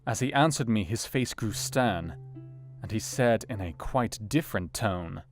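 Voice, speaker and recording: Low-voiced, earnest gentleman diarist. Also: animated. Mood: reflective. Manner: measured, formal, literary. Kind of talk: scripted diary narration in audio drama. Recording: plucked guitar music behind the voice.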